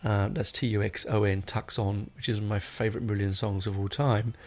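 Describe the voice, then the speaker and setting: A man's voice: fairly low pitch, little pitch movement, brisk, darkish tone. A middle-aged man hosting a podcast in a casual, unscripted way, wandering off on tangents and correcting himself as he goes. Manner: rambling, digressive, self-correcting. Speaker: podcast host.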